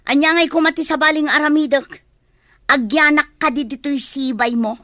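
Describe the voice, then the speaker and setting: A woman with an Ilocano accent playing a sharp-tongued, exasperated wife who scolds and answers back in a radio drama. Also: high-pitched, fairly even intonation, normal speed, dry recording, clean studio sound. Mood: exasperated, scolding. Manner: scolding, retorting. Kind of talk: Filipino radio drama acting.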